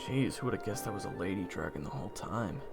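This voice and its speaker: Man playing a wry, animated jester in reverb. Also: deep voice, fast talker. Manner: casual, wry, awkward. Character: wisecracking, easily flustered jester telling his own story. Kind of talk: first-person audio drama narration and dialogue. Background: music.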